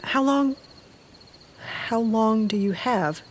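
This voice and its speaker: An actress playing a fiery, protective aunt in an audio drama, speaking bluntly and with emotion. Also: female voice, a little high, slow pace, slight background noise. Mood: shocked. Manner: blunt, urgent questioning.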